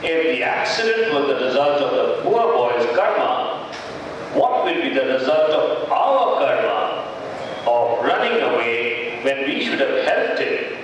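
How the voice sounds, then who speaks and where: A man voicing a wise, gentle grandfather who teaches patiently in a scripted puppet show. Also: mid-range voice, very animated, dull audio, slight background noise. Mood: patiently instructive. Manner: measured, didactic. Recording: very echoey hall from far, music behind.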